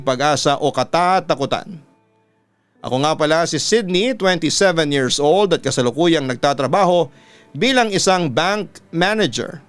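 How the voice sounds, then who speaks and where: A Filipino man narrates a scripted letter in the manner of a radio announcer. His voice is clean and resonant at a middle pitch. Light music plays behind him throughout. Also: smooth. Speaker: radio announcer and story show host. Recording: music bed under the voice. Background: background music.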